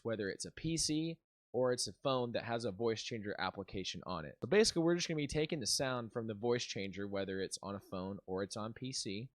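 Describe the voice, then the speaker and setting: A man's voice: mid-range voice, no echo, clean studio sound. A man giving an instructional tech tutorial, explaining things step by step. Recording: music behind the voice. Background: background music.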